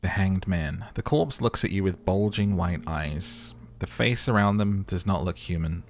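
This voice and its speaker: A man's voice: deep voice, unhurried, slight background noise. A man playing through a video game, giving commentary and dramatic narration. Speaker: let's player narrating and voice acting a game. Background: game music.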